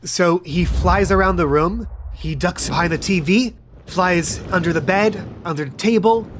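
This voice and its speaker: Casting: male game master and podcast host, fairly high voice, casual conversational narrator. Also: slight background noise.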